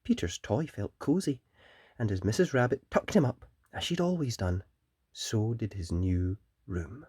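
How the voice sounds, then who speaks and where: A children's story reader and host, narrating a picture book in a gentle, deliberate and expressive way. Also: medium pitch, husky, no echo.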